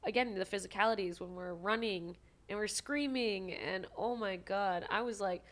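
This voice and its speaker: A young adult woman from Maui, an actress guest telling stories in a casual, animated podcast chat. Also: medium pitch. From Maui, Hawaii. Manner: animated, quick storytelling with restarts.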